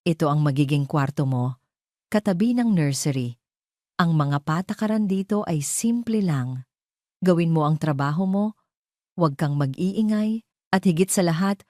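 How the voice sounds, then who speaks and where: A woman's voice: on the low side, normal speed, mellow, no room sound, studio quality, close to an everyday voice. A Filipino woman voicing a stern elderly head housekeeper in an audio drama, strict and formal. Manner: strict, formal, rule-giving.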